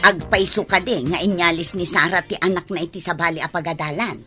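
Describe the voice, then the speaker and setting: An actress playing an inquisitive, envious gossip of a neighbour with a husky voice in a radio drama. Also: female voice, on the low side, fairly even intonation, a little fast, fairly bright tone, faint music behind, lightly acted. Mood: curious, envious. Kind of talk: regional radio drama acting.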